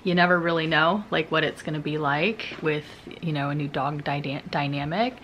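A woman's voice: deep voice, moderate pace, lo-fi sound, slight background noise. Chatty giggly woman vlogging to camera. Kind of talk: casual vlog chat to camera. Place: bedroom in a house. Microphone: handheld camera at arm's length.

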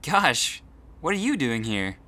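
A man voicing an excitable, wary young regular guy in a cartoon, casual and exclamatory. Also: mid-range voice, slow, full character voice. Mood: excited. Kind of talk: animated web series dialogue.

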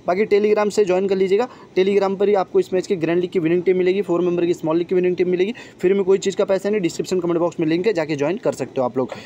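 A man's voice: sits high, steady tone, racing, few pauses, dark tone, a little hiss or hum. A young man giving an informal fantasy cricket team preview, chatting to viewers like friends and brothers. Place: voice-over on a phone screen recording.

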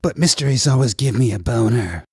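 A man's voice: mid-range voice, animated, brisk, dark tone, breathy edge, no room sound, studio quality. A man voicing a leering, sarcastic, sceptical agency director in an audiobook, with a mocking drawl. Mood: leering mockery. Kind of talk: audiobook character voice.

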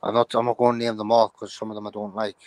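Flat-voiced young man from the UK. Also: fairly low pitch, quick pace, no echo. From United Kingdom. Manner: flat, mumbled, lots of "you know what I'm saying". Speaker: rapper guest. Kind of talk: casual interview answers.

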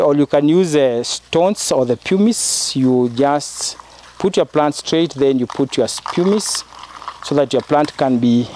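A middle-aged man with a Kenyan accent, giving an instructional demonstration in a halting, repetitive way as he works. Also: a little high, darkish tone, slight background noise. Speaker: project researcher giving a demonstration. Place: inside a greenhouse. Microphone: clip-on lapel mic.

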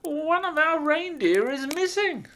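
A man's voice: very high-pitched, animated, unhurried, fairly bright tone, pitched above the performer's own voice, full character voice. A man voicing a little old elf in a storybook, an anxious helper who is fussy and hesitant. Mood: worried.

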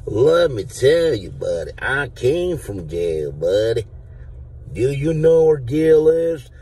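A man's voice: medium pitch, big pitch swings, takes it slowly, dark tone, breathy edge, recorded from a distance, pitched above the performer's own voice, full character voice. A man in his thirties, seated in a car and talking into a phone, voicing a blustering redneck who claims to be a veteran, with a put-on Southern drawl and rambling, absurd talk. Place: inside a parked car. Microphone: phone held at the mouth.

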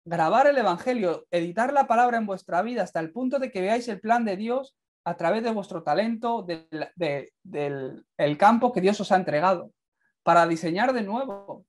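A man's voice: sits high, animated, normal speed. A young man with a Castilian Spanish accent giving an earnest, fervent sermon and closing prayer, speaking to a camera indoors. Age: young adult. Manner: earnest, fervent, builds to emphatic peaks. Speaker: preacher. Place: indoors, in front of a plain light wall.

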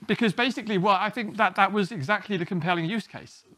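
A man, giving a relaxed, self-deprecating technical talk at a small meetup, often restarting his sentences. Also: high voice. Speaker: conference speaker. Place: small meeting room, indoors.

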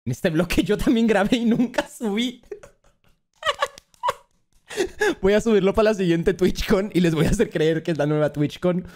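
A man's voice: high voice, normal speed, long pauses. A man in his twenties from Colombia, chatting casually and jokingly on a livestream, with slang and swearing. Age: 20s. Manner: joking, expressive. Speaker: streamer reacting to a video about himself. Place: indoor streaming room.

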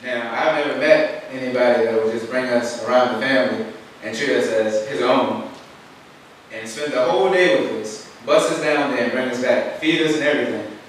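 A man's voice: medium pitch, normal speed, slight background noise. Earnest young man in echoey chamber. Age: young adult. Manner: earnest, plainspoken. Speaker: youth commission member. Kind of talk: public comment speech. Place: council chamber. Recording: echoey far-off chamber audio.

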